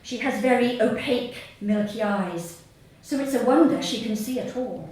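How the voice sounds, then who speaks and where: A woman with a southern English accent reads her humorous short story live on stage, deadpan and measured, with pauses. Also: a little high. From Sussex, England. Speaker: author reading own short story. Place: small theatre stage before an audience. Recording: very echoey hall, distant mic, faint noise.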